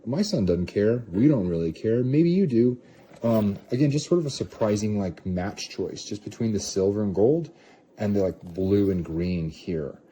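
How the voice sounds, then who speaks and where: A low-voiced man talks through a casual review in a very soft-edged, dark tone. He rambles and questions his own wording, with some light background noise behind him. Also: lively intonation, normal speed, rough recording. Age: adult parent of a young child. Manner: rambling, self-questioning, hedging. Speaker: product reviewer. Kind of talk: casual off-the-cuff product review.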